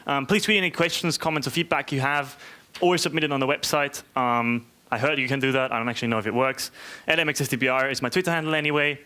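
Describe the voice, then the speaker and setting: A man's voice: mid-range voice, steady tone, quick pace, bright, forceful tone. A man from Austria, giving an informal, chatty, joking tech conference talk and often checking in with "right?". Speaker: conference speaker, software developer. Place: conference room, live talk to an audience.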